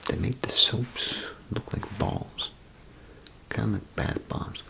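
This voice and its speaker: A man playing a chatty, folksy bathroom attendant in a soft ASMR role play, speaking in a gravelly, breathy half-whisper with rambling, hesitant phrasing. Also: on the low side, slow, voice pushed up, full character voice. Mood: chatty, explaining. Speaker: ASMR performer. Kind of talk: ASMR role play, softly spoken to the listener. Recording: close ASMR recording, clear background noise.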